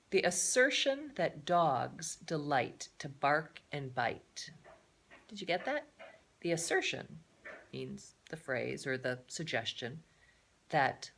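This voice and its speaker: Female storyteller for children's adventure book read-aloud; lowish voice, very animated pitch, expressive delivery. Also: gravelly. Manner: expressive, with explanatory asides to listeners.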